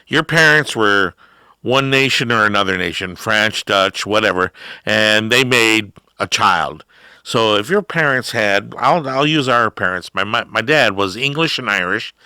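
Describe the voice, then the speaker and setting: Casting: middle-aged male talk host, unhurried rambling rant, clear, close, dry sound. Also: lowish voice, bright, forceful tone, no room sound. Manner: drawn-out, rambling monologue with emphatic rises. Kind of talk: ranting political talk.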